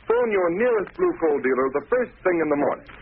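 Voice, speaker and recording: A man's voice: on the high side, sing-song, fast, breathy edge. An American man announcing a scripted 1930s radio commercial in a formal, emphatic sales style. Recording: tinny phone-like vintage radio recording.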